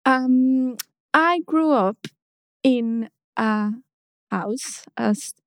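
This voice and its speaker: A woman in her thirties who grew up in Mexico, speaking as an interview guest. Her voice sits a little high. She hesitates and restarts her sentences often, and the recording is dry and close with no room sound. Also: very slow, dry recording. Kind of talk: casual interview conversation.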